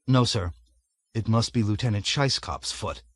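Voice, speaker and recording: A man's voice: on the low side, normal speed, no echo, natural voice. A man voicing a nervous army major who answers his colonel in a fawning, flustered way. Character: flustered male army major, eager to please.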